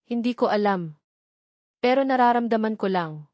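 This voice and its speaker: A woman's voice: on the high side, lively intonation, normal speed, a little mellow, no echo, clean studio sound, natural voice. A woman narrator voicing a worried, caring working-class man who speaks seriously and directly in a storybook romance. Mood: grave, warning. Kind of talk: storybook character dialogue.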